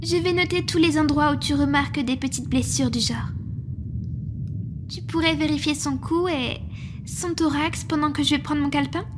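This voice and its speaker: A woman voicing a protective, devoted monster medic in a roleplay ASMR, speaking in a soft, attentive, earnest way. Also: high-pitched, normal speed, background noise, lightly acted. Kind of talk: French roleplay ASMR, intimate acting.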